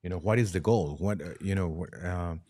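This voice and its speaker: Priest guy on a podcast, lowish voice, halting careful answers. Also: mellow. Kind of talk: conversational interview answers.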